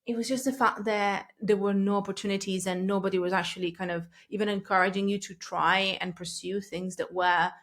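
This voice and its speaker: A woman's voice: mid-range voice, steady tone, a little fast, few pauses, a bit bright. A chatty woman in her thirties from Italy, a podcast guest who laughs easily and wanders off on tangents. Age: 30s. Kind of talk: casual interview chat.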